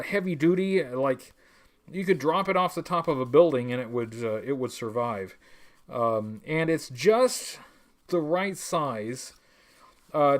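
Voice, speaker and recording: A man with a somewhat high voice hosts a casual live stream. He talks in a loose, halting ramble, stopping and restarting as he goes. His manner is wry and tongue-in-cheek, like someone chatting to regular viewers. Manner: rambling, halting, stop-start delivery. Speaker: livestream host. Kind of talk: casual livestream chat reading viewer messages.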